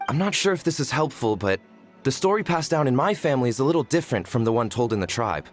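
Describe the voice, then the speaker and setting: Game NPC: humble tribesman, acted by a man, medium pitch, self-effacing and sincere, soft music behind. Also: big pitch swings, quick pace, natural voice. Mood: earnest, explanatory. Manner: polite, self-deprecating. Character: humble, earnest tribesman from a lapsed shaman family. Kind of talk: anime game voice acting.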